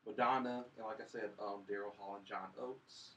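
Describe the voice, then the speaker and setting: A man in casual chat as a film podcast host, speaking in a hesitant, halting way. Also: lowish voice, fairly even intonation, sharp tone. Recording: distant mic with faint background noise.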